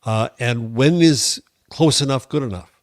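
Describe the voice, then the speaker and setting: A man's voice: medium pitch, unhurried, no echo, studio quality. A man with an American accent co-hosting a tech podcast, casually reasoning aloud and offering his opinions. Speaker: podcast co-host.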